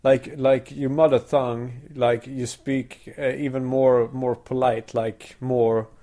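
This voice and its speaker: Mellow Swedish man, stammering. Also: mid-range voice, flat delivery, takes it slowly. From Sweden. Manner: stammering, repeating words. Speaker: podcast host. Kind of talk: casual chat.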